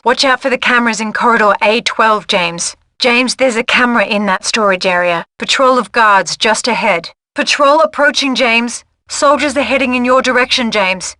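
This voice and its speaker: A woman playing a watchful intelligence agent guiding a spy in a video game with crisp, cautioning briefings. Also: on the high side, sharp tone, dry recording. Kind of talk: video game mission guidance.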